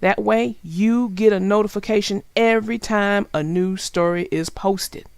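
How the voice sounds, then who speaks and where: A woman narrating a children's story as a scripted read-aloud, in a deliberate, expressive way. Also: mid-range voice, creaky, smooth, no echo.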